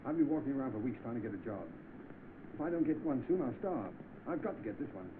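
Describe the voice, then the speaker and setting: Male actor as hard-up young man, eager, medium pitch, 1930s film acting, narrow-band noisy soundtrack. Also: expressive, quick pace, natural voice.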